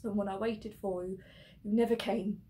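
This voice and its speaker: A woman acting a stage monologue as a despairing grown daughter explaining herself to her mother in halting, hesitant phrases. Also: mid-range voice, steady tone, dark tone, slight background noise, close to an everyday voice. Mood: resigned, sorrowful.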